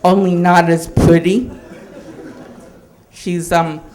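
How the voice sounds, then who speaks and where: A male stand-up comedian from Hawaii telling jokes on stage in a halting way, with restarts and chuckles. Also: high-pitched, little pitch movement, darkish tone.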